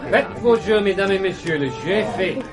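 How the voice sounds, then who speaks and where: A high-voiced French croupier. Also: male voice, expressive, a little fast, music in the background, a crowd in the background. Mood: formal, calling. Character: French casino croupier, male, formal, calling bets. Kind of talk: radio drama acting, calling out.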